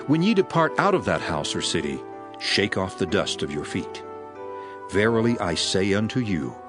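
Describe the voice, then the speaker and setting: Solemn low-voiced master, sweeping intonation. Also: male voice. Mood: solemn, commanding. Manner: measured, declamatory, emphatic preaching delivery. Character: solemn male religious master commissioning his disciples, authoritative and earnest. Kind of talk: dramatized King James scripture reading. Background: music behind the voice.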